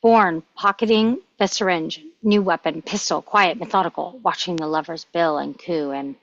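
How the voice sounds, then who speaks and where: A lo-fi, slightly dull recording of a woman narrating a screenplay at a table read. Her voice is a little low for a woman, and there is little echo around it. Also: normal speed. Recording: dull lo-fi microphone sound.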